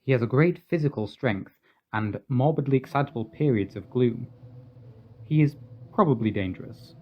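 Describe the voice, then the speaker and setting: An actor playing a grave, lovesick, studious asylum doctor in a radio drama monologue. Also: male voice, mid-range voice, expressive, normal speed, lightly acted. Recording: dull sound with faint noise.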